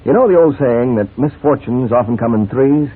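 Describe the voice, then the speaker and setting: A male announcer reads in 1940s radio style. His voice is husky and mid-pitched. The sound is thin and phone-like, as on an old broadcast. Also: moderate pace.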